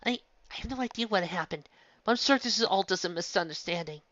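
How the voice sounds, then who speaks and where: A woman's voice: medium pitch, expressive, fast talker, a bit bright, dry recording, pitched above the performer's own voice, natural voice. A woman voicing an anxious, bookish young female unicorn who pleads in a frantic, breathless way, in a dull lo-fi recording. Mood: nervous denial.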